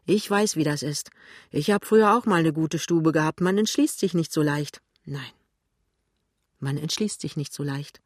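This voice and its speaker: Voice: mid-range voice, normal speed, no echo, studio quality, lightly acted. A reader voicing a blunt, worn-out working-class landlady in an audiobook, brusque and colloquial with a resigned edge.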